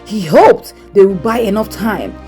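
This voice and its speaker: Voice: medium pitch, expressive, a little slow, warm tone, soft background music. A narrator telling a scripted folktale in a solemn, theatrical way.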